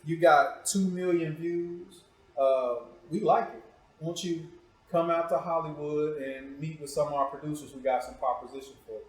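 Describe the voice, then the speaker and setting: A man's voice: on the high side, steady tone, moderate pace. Male talk show host in an echoey room. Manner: informal, teasing, slangy. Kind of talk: casual, joking interview talk.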